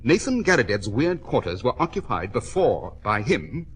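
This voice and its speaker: An actor playing a shrewd, secretive English detective in an old-time radio drama, clipped and authoritative. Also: male voice, medium pitch, sing-song, normal speed, breathy edge. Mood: grave, urgent.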